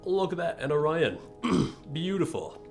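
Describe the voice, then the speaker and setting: A man's voice: very animated, a little slow, gravelly, soft background music. A man chatting casually on a live game stream, reading chat aloud and reacting to the game, close to a desk microphone in a small room. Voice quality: deep voice. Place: small room facing a wall.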